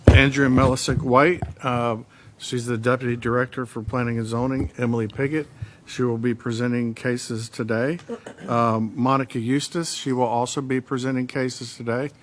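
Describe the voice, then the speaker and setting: Mid-pitched man, planning director, plain introductions, faint hiss. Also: level tone, normal speed. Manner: brief, matter-of-fact. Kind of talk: introducing staff at a meeting. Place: county meeting chamber.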